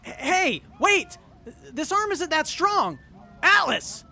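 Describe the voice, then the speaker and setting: An excitable young sidekick in a wasteland radio drama. His voice is high, bright and very animated. He is courteous and keen to please. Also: takes it slowly, gravelly, exaggerated voice. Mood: panicked. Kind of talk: post-apocalyptic audio drama acting.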